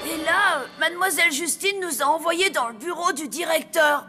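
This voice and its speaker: Earnest high-pitched cartoon schoolchild. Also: female voice, moderate pace, over loud music. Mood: concerned. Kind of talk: animated cartoon dub acting. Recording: clear background noise.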